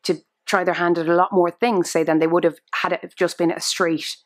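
Lower-pitched Irish woman interviewer. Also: level tone, fairly quick. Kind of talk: conversational interviewing.

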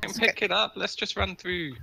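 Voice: mid-range voice, fairly even intonation, normal speed, over a noisy crowd. A gamer talking casually with a group during online play, on a noisy microphone with clicks. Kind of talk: casual group game chat. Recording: clearly noisy mic with clicks.

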